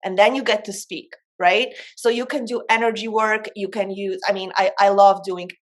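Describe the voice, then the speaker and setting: A woman's voice: mid-range voice, steady tone, normal speed. A woman from Poland, speaking as a coach in an informal, emphatic motivational talk on self-development.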